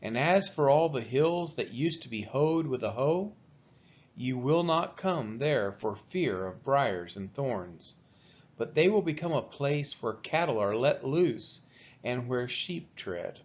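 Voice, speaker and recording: A man reading scripture aloud in a solemn style, with measured, deliberate phrasing. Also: medium pitch, lively intonation. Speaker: scripture reader for a church read-along. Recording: dull, lo-fi sound.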